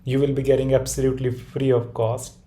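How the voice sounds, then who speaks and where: A man whose first language is Hindi runs an online class over a computer mic on a video call. His voice is mellow and dark, at a middling pitch. He keeps checking in with "right?" and often restarts his sentences. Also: little pitch movement, brisk. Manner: repeats "right?" and restarts often, talking through a whiteboard diagram. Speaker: course instructor. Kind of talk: online webinar lesson with a sales pitch.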